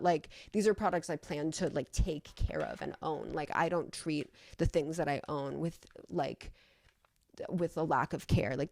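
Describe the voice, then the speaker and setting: A woman's voice: low voice, gravelly, no room sound, studio quality. A young American woman rambling casually as a vlogger, effusive and sweary in how she talks. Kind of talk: casual rambling chat to camera.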